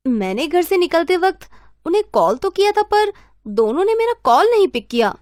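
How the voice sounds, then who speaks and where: A woman voicing a friendly, casual young woman in an animated horror story. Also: very high voice, moderate pace, no room sound, clean studio sound. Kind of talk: animated story acting, conversational.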